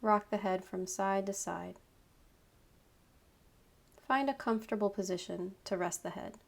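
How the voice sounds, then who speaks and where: Woman, meditation guide, creaky vocal fry, calm, unhurried, short instructive phrases, mid-range pitch. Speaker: yoga nidra meditation guide.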